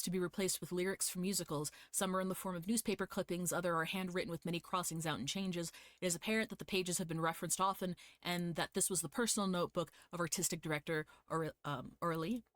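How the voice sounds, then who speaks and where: Female game streamer reading aloud, lowish voice, casual asides, close dry sound. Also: no echo. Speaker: livestreamer.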